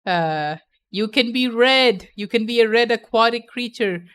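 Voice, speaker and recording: A woman's voice: fairly high pitch, unhurried, fairly bright tone, smooth. A young adult woman chatting casually on a stream, playful and opinionated, laughing often.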